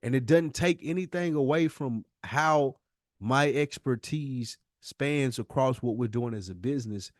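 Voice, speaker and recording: A man's voice: on the high side, dry recording. American man, earnest and slangy, dry sound. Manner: earnest, slangy, repeats words for emphasis. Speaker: podcast co-host. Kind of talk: casual, candid podcast conversation.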